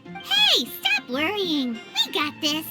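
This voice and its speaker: A woman voicing a small, chirpy, childlike fairy companion in an anime video game, squeaky and brash, exclaiming and speaking of herself in the third person. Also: huge pitch swings, slow pace, over loud music, exaggerated voice. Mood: cheeky, upbeat.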